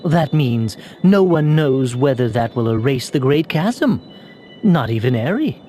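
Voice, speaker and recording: An actor playing a thoughtful young man in a video game, hesitant and deliberate, full of doubt. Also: medium pitch, big pitch swings, dark tone, faint music behind. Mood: doubtful.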